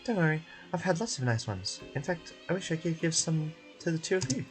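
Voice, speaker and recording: A man voicing a sweet, earnest young child in a game, telling stories gently and simply. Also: on the high side, big pitch swings, a little fast, over music, pitched above the performer's own voice. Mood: cheerful.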